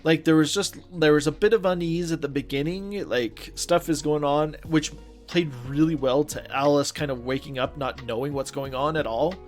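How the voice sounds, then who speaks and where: A young man with a fairly high voice chats eagerly as a podcast co-host. He piles up "like" as he gushes about what he enjoyed. Faint music hums in the background. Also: fairly even intonation, moderate pace. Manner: enthusiastic, peppered with "like". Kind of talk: casual chat.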